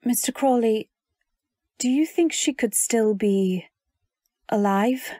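An actress playing a polite, timid young wife who narrates her story in an earnest, measured way in an old-time radio drama. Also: a little high, warm tone. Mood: curious, troubled.

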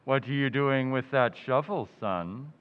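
An actor playing a friendly but ghoulish male undertaker in a radio play, talking slowly and hollowly. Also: mid-range voice, lightly acted. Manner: slow, hollow, punning. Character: gloomy male undertaker, slow and sepulchral. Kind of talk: old-time radio drama acting. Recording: dull reverberant stage recording.

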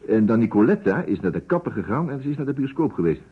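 An actor playing a courteous, precise private detective in a vintage radio play, musing deliberately and dropping in French phrases. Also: male voice, mid-range voice, big pitch swings, breathy edge, exaggerated voice. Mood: methodical. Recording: muffled phone-like old radio recording.

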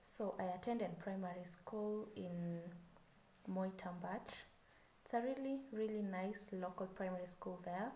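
A woman's voice: medium pitch, unhurried, mellow. A woman in her twenties with a Kenyan accent, introducing herself in a casual vlog, chatty and a little nervous, with many fillers. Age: 20s. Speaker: vlogger. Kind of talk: casual self-introduction to camera. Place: small room indoors. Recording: echoey room with faint background hiss.

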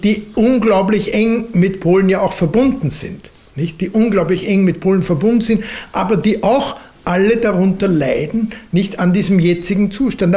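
A man in his seventies with an Austrian accent, answering questions as a lecturer in a deliberate way, often adding questioning tags. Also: high-pitched, dark tone. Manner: deliberate, hedging, often adds a questioning "nicht?". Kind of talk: unscripted answer after a lecture. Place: library lecture room, at a lectern. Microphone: lectern microphone.

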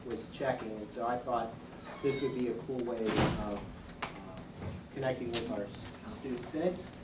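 German-native guy talking from the audience, halting, lots of restarts, roomy echo, far from mic. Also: mid-range voice. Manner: halting, many restarts, laughing. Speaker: law librarian. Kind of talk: impromptu audience remarks. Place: large lecture hall. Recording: roomy hall from far off, hiss.

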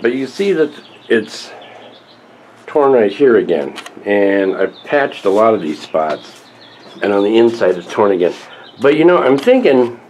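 An older man chatting casually to camera as a vlogger, rambling and wry while working on a prop. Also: slight background noise. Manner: rambling, wry, lively pitch. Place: small cluttered room indoors, then patio workshop.

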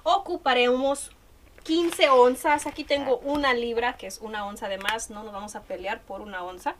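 A woman's voice: fairly high pitch, fairly even intonation, normal speed. A woman with a Mexican Spanish accent chatting enthusiastically as she cooks in a home kitchen, filmed over the stove. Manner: chatty, enthusiastic about food. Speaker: cooking vlogger. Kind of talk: casual cooking narration. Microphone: camera filming hands at the stove. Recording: kitchen noise. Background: frying and sizzling.

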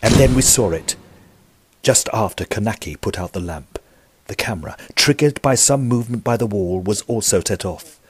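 An actor playing a gentlemanly Edwardian narrator of a ghost story, telling it formally in a scripted audio drama. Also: male voice, lowish voice, animated, moderate pace, husky. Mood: suspenseful.

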